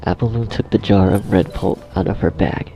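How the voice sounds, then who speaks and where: A man's voice: lowish voice, fairly even intonation, normal speed, creaky. A man narrating a scripted story, recorded slightly dull. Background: faint music.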